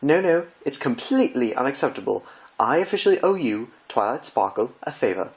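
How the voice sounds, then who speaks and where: A man's voice: medium pitch, sing-song, a little fast, pitched above the performer's own voice, rough recording. A man voicing a dramatic, fussing, refined female pony from a cartoon fan story, with a gushing, theatrical manner. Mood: theatrical remorse. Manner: theatrical, gushing, prim wording.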